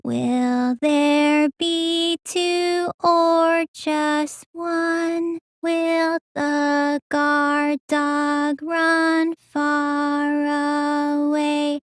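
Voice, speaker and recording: Game dub role: childlike teenage girl magician, lazy and gullible, whiny drawl, slow delivery, very dry close sound. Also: sits high, little pitch movement, no room sound, faint music behind. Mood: eerie chanting. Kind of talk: English video game dub voice acting.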